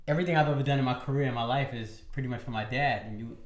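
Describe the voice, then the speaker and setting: A man hosts a casual podcast chat, talking fast and leaning on "like" between his thoughts. His voice sits in a middle pitch. The room has a noticeable echo. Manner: quick, filler-heavy with frequent "like". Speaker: podcast host.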